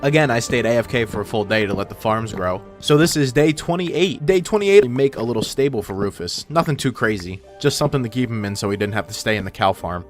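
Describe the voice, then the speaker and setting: A man's voice: mid-range voice, lively intonation, fast, hardly any pauses. A man narrating gameplay in a casual, informal way with wry asides, his voice run through a voice filter. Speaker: gaming video host. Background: background music.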